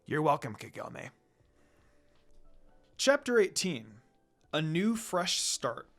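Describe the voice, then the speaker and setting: A woman reading fan fiction aloud as an amateur narrator, telling the story in a dramatic storytelling way. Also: low voice.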